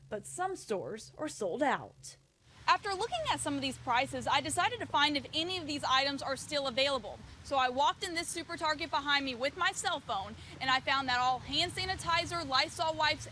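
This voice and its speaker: High-pitched female TV news reporter. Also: bright, forceful tone, slight background noise. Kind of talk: scripted news report narration.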